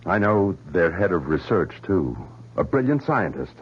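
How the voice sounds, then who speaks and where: A husky, anguished, idealistic scientist. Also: male voice, sits low, very animated, moderate pace, full character voice. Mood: earnest appeal. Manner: earnest, theatrical, emphatic. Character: anguished, idealistic male weapons scientist, pleading and defiant. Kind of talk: 1970s radio drama acting. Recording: dull vintage radio recording, faint music.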